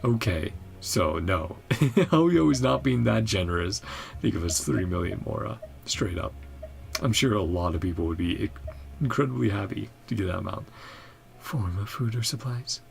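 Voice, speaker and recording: A woman's voice: a bass voice, lively intonation, normal speed, gravelly. A woman streamer giving a casual gameplay reaction, musing in short remarks and reading dialogue aloud. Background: game music and dialogue.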